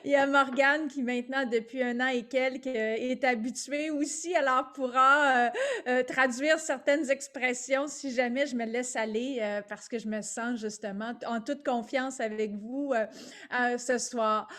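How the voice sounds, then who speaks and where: A middle-aged woman with a Québécois French accent, presenting her company as a guest on a webinar video call, lively and quick to laugh. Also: sits high. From Quebec, Canada. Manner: lively and talkative, laughing often, with frequent fillers. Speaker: guest speaker, entrepreneur.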